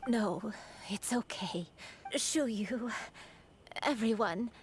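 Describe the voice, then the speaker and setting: A woman playing a gentle, sorrowful grandmother who is secretly a crane spirit, in a video game, speaking formally and wistfully with hushed, breathy phrases. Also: on the high side, very slow, a little hiss or hum. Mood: tearful remorse. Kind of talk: scripted video game voice acting. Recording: music under the voice.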